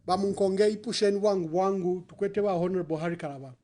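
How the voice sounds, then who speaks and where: A man's voice: high-pitched, steady tone, fast, mellow. A man with a Zambian accent hosting a live call-in programme, taking calls and prompting callers. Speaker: radio call-in host.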